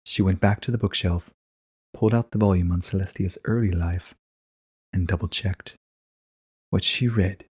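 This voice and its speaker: Low, husky male story narrator. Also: darkish tone. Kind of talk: scripted narration, dramatic reading.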